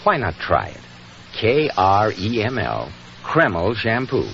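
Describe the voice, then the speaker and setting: A man with an American accent announcing in 1940s radio style, polished and emphatic like a practised pitchman. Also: huge pitch swings, slow pace, vocal fry, fairly bright tone, slight background noise. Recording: muffled 1940s radio broadcast with music.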